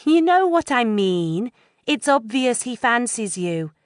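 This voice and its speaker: A woman's voice: a little high, sing-song, slow, no echo, voice pushed up. A cheeky, coaxing teenage girl in an audiobook, put on by a woman reader, voice lifted well above her own, dry close sound. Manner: brash, coaxing. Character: bold teenage girl egging her friend on.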